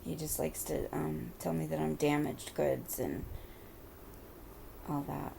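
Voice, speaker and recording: A woman in her thirties with a hoarse, deeply gravelly voice that sits low and dark. She talks to her camera at home in a halting, rambling way, stopping often on fillers. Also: steady tone, a little hiss or hum. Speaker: vlogger. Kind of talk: personal confessional vlog.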